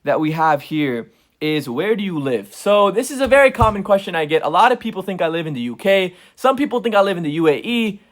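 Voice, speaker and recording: A young man in his twenties talks straight to his viewers, chatty and informal, calling them "guys" and "bro." His voice sits a little high and swings around lively. He records at home in a basement. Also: a little fast. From United States. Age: 20s. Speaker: content creator. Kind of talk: casual Q&A vlog talk to camera.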